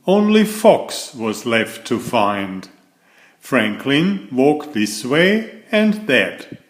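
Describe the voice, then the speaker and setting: Slow, lively male storyteller in echoey room. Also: medium pitch. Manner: expressive, deliberate, simple storybook delivery. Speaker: storyteller reading a picture book. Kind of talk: bedtime story read-aloud.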